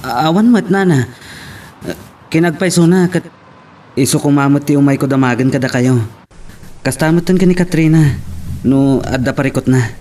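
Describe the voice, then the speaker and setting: A man's voice: on the high side, moderate pace, long pauses, dark tone, slight background noise, lightly acted. A man playing an earnest young man in an audio drama, sounding troubled and subdued. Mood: concerned. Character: earnest, troubled young man worried about his sweetheart. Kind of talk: Ilocano radio-style drama acting.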